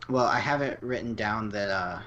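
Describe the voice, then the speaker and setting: A man's voice: moderate pace, bright, forceful tone. A man co-hosting a podcast, sharing his thoughts in a casual fan discussion. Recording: muffled low-fi audio, faint noise.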